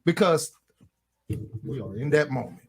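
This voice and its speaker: A man co-hosting a radio show in casual banter, animated and joking. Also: medium pitch, unhurried, slightly rough, soft background music. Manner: animated, joking, swings into loud stern put-on voices.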